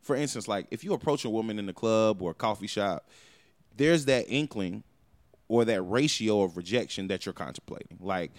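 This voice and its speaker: A man's voice: lowish voice, moderate pace, no room sound. A man hosting a podcast in casual chat, telling stories animatedly and swearing freely. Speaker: podcast host.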